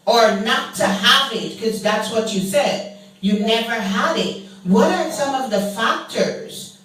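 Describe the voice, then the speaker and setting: A woman hosting a podcast, asking interview questions in a deliberate, measured way. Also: fairly high pitch, lively intonation, fairly bright tone. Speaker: podcast host. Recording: echoey room, distant mic.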